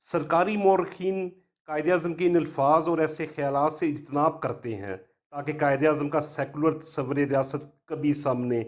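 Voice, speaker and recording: Higher-pitched Pakistani man narrating, dull sound. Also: fairly even intonation, normal speed. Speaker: history channel narrator. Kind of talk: scripted educational narration.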